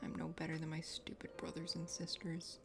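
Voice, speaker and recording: A woman voicing a contrite, insecure young dragon prince in a soft, breathy ASMR game reading. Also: low voice, monotone, brisk, voice pushed down. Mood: regretful. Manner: earnest, self-deprecating. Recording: soft music behind.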